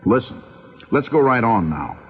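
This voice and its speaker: A man preaching an urgent radio sermon and reading scripture, his voice swinging between emphatic high peaks and low, quiet asides. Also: sing-song, moderate pace, breathy edge. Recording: narrow tinny old radio broadcast.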